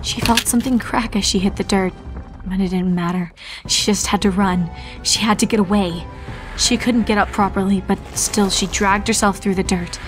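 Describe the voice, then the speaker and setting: A woman's voice: medium pitch, fairly even intonation, normal speed. A woman reading a horror story aloud as a dramatic scripted narration. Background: music.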